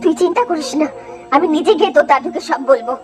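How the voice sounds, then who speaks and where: A woman's voice: high voice, fast, over music, close to an everyday voice. A woman voicing a caring, distressed old family nurse in a Bengali horror cartoon, plaintive and emotional.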